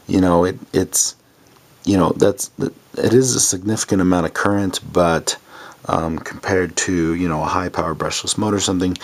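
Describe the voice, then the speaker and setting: A hoarse man casually demonstrating a light controller, rambling with many ums and sounding enthusiastic. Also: sits low. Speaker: product demonstrator. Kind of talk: casual product demo.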